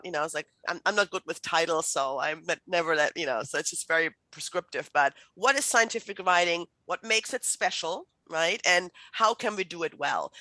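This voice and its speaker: A woman's voice: fairly low pitch, sharp tone, dry recording, rough recording. A middle-aged woman from Germany, German native, giving a chatty online writing workshop with many fillers and restarts. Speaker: writing instructor. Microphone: video call.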